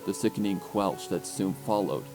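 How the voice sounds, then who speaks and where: A man's voice: deep voice, normal speed. A man reading scripted story narration with an intense, theatrical delivery, as an audiobook narrator. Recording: music under the voice.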